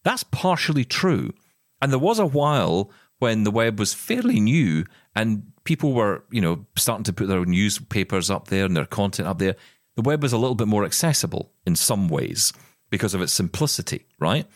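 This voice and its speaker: A man's voice: lowish voice, sing-song, normal speed, dry recording, studio quality. A middle-aged man co-hosting a podcast, talking in an impassioned, emphatic way with plenty of restarts. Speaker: podcast co-host. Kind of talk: casual chat.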